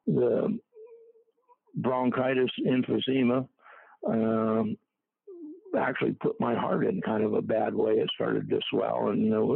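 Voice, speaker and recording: An older man talks in a gravelly, husky voice, stopping and restarting as he recalls things. The sound is dry and tinny, like a phone line. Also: mid-range voice, no room sound. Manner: halting, with many fillers and restarts. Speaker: interviewee. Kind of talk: casual recollection.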